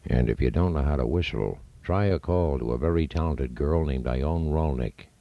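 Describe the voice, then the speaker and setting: A man's voice: rumbling low, moderate pace, gravelly, dry recording. A man reading a radio ad tag on a dull, lo-fi tape.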